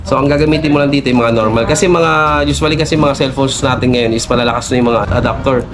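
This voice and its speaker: A man's voice: medium pitch, fast, a crowd in the background. A Filipino man working as a shop salesperson, explaining products in a polite, conversational way and mixing in English terms.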